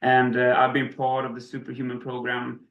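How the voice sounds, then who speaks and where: A man's voice: mid-range voice, monotone, moderate pace, narrow, tinny audio. A man from Sweden talking as an interview guest, hesitant, with many restarts and fillers. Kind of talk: casual interview. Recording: roomy echo.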